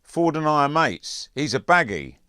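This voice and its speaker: Audiobook bully, adult male reader as a sneering teen schoolboy, emphatic and taunting, pitched above the narration, swooping. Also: very animated, normal speed, pushed and bright, smooth, no echo, clean studio sound. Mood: dismissive. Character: scornful, bullying, foul-mouthed teenage schoolboy. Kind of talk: audiobook character voice.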